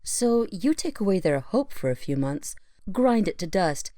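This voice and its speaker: A skeptical female pony analyst played by an actress with a low, dark voice. She is sharp-minded, dry and sardonic, questioning things in a conversational way. The drama recording is very dry. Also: sing-song, a little slow, dry recording. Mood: skeptical, probing. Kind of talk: conversational audio drama dialogue.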